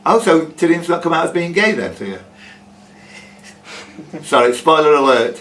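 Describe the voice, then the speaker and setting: A man's voice: on the high side, very animated, moderate pace, fairly bright tone, a little hiss or hum. A man hosting a quiz, reading questions aloud and teasing the players with jokey casual banter.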